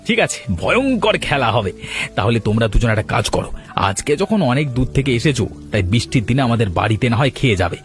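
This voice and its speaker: Guy doing a greedy haggling village man in a cartoon, talks fast, pitch swoops around. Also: sing-song, faint music behind, natural voice. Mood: sly, agreeable. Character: wily, greedy village husband, haggling and talkative. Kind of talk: cartoon storytelling voice acting.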